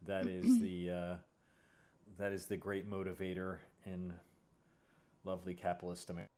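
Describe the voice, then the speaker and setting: A man hosting a casual interview, speaking in a halting way and often rephrasing mid-sentence. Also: low-pitched, little pitch movement, mellow. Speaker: host.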